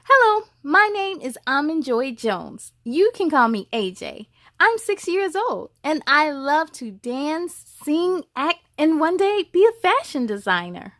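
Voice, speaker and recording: A woman's voice: sits high, very animated, measured pace, a bit bright, no echo, studio quality, pitched above the performer's own voice, full character voice. A woman voicing an eager, enthusiastic six-year-old schoolgirl in a storybook.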